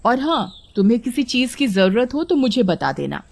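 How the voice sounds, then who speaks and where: A woman's voice: fairly high pitch, very animated, moderate pace, creaky, full character voice. A woman voicing a bossy, worried elder sister who instructs and scolds in a cartoon horror story. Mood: hospitable.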